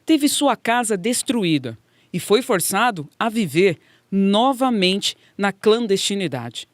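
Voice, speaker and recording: A woman's voice: on the high side, no room sound, studio quality. A woman with a Brazilian accent reading scripted narration for a radio documentary.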